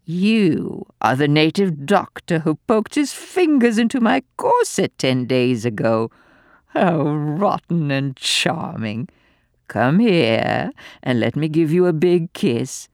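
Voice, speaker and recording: Reader doing a spiteful old aristocratic lady, high sneering voice, swooping theatrical delivery, slow and dry. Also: very animated, no room sound. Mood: leering. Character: spiteful old aristocratic woman, cackling and venomous.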